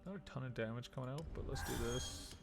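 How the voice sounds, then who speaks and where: A man's voice: mid-range voice, fast talker. A man casually commentating on his gameplay, muttering and thinking aloud half to himself. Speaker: video game streamer. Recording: loud game music behind. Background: loud video game music.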